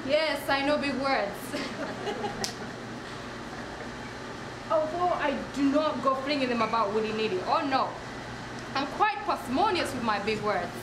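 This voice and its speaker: A woman's voice: sits high, lively intonation, slow pace, pauses often. A woman performing a comic monologue in a theatrical, self-important, emphatic way. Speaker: performer reciting a literary piece. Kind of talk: dramatic recitation of a comic monologue. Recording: echoey room with clear background noise.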